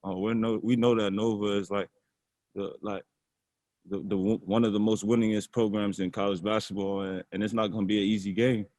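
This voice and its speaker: Young male athlete, lowish voice, easygoing, restarts his words, dry close sound. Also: a little fast, no echo. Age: young adult. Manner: relaxed, repeats words while thinking. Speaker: college basketball player. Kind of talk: casual interview answers.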